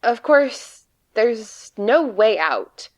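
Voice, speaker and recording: A woman playing a frightened, despairing agent trapped among monsters, delivering a halting, grimly sardonic confessional monologue in an audio drama. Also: fairly high pitch, very animated, full character voice. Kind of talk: dramatic monologue reading of a written report.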